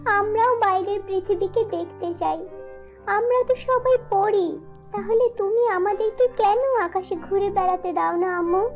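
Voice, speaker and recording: A woman's voice: very high-pitched, measured pace, highs cut off, faint music behind. A woman voicing a little flower fairy girl in a children's cartoon, childlike and plaintive in manner. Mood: pleading. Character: little flower fairy daughter, girlish, eager and pleading.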